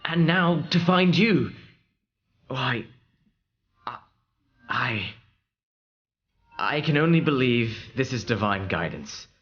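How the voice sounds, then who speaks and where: A man playing an earnest, weary wanderer in an audio drama, halting, reverent and pleading. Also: mid-range voice, very animated, muffled recording. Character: earnest, weary wandering male seeker in awe.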